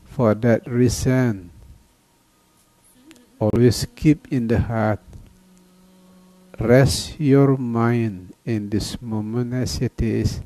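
Man, meditation teacher, unhurried and mellow. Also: mid-range voice, dry recording, poor audio. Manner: slow, calm, with long pauses. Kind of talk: guided meditation.

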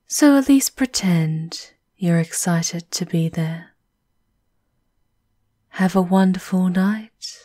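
Woman acting a slow, prim, half-whispering castle seamstress. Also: medium pitch, warm tone. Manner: measured, formal, half-whispered. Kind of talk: ASMR roleplay.

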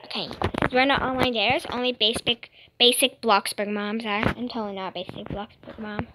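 Female gaming host, high voice, casual gameplay chatter, noisy recording with clicks. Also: steady tone, normal speed.

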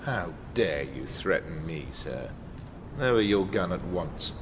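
An English actor playing a haughty, blustering titled villain in a radio drama, speaking formally. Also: male voice, sits low, animated, slow, heavy background noise. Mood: indignant. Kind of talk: BBC radio drama acting.

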